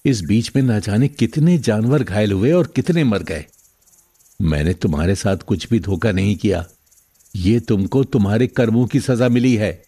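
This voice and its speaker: Earnest, mellow-voiced honest farmer, played by a man. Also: lowish voice, expressive, normal speed, husky, dry recording, clean studio sound. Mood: firm, reproving. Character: kind, honest poor farmer grown rich. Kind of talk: animated moral story dialogue in Hindi.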